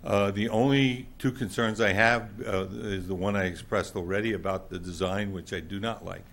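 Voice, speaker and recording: A man with a loud, booming voice giving a blunt, plainspoken public comment at a council meeting. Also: steady tone, moderate pace, gravelly, slight background noise. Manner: blunt, plainspoken, with many fillers. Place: council chamber.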